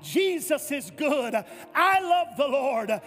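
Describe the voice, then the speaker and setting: Male pastor, very high voice, emphatic repetitive delivery, reverberant sanctuary with faint music. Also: lively intonation, slow pace, a bit bright. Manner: emphatic repetitive preaching with stammered restarts. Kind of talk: sermon. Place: church sanctuary. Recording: echoey hall with faint music.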